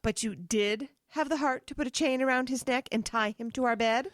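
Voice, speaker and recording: An actress playing a suspicious, firm, upright wife in an audio drama, questioning sternly and with exasperation. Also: female voice, sits high, brisk, no echo, clean studio sound, natural voice. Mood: shocked, accusing.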